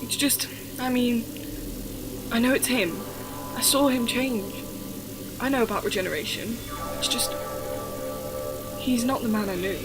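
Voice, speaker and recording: A woman playing a loyal, defiant but shaken young companion in an audio drama, earnest and halting. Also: on the high side, over loud music, natural voice. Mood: anxious.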